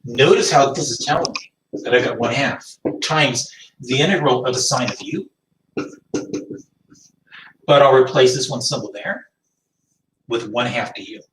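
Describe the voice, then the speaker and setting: A math teacher whose first language is French, lecturing a class and thinking aloud, often stopping to rephrase. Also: male voice, medium pitch, unhurried, long pauses, fairly bright tone, slight background noise. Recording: echoey room, distant mic.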